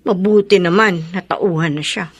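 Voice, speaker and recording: An actress with a Filipino accent playing a frank, inquisitive confidante in a radio drama. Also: female voice, on the low side, moderate pace, husky, dry recording. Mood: curious concern.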